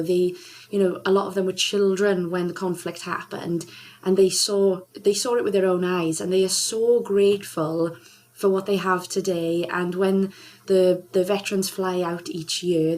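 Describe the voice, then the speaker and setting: A young woman from South Wales talks as a radio guest, her voice sitting a little low. She tells her story earnestly and from the heart, often restarting her sentences as the memories come back. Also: darkish tone. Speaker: singer and charity ambassador, guest. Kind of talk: radio interview, personal storytelling.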